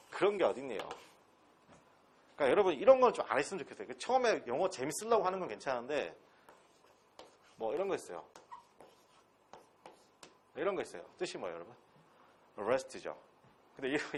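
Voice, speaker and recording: A man with a Gyeongsang dialect lectures an English class in a husky, slightly breathy voice. His pitch swings up and down freely as he fires rhetorical questions at the students. He keeps it blunt and casual, with some crude slang. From Pohang, South Korea. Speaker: English instructor at a transfer-exam academy.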